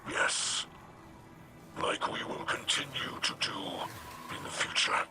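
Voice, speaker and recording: A man voicing a battle-weary alien warrior captain in a video game, grave and formal, with a breathy, gravelly growl. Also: slow, over music, lightly acted. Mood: somber.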